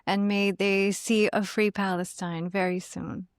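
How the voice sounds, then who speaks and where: A woman's voice: medium pitch, level tone, a little slow, dry recording, clean studio sound. Gracious, effusive woman podcast host. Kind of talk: podcast interview.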